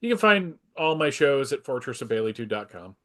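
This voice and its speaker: Mid-pitched man, halting casual talk. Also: big pitch swings, bright, forceful tone. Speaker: panel guest, podcaster. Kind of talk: casual chat.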